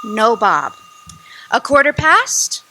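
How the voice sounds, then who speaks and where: A woman narrating a radio drama with a slow, lively storytelling manner. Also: a little high, heavy background noise.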